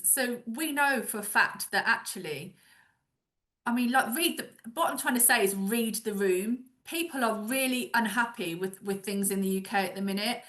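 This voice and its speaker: A female talk show guest with a bright, forceful voice at a middling pitch. She speaks conversationally, with a blunt and insistent manner as she gives her opinions.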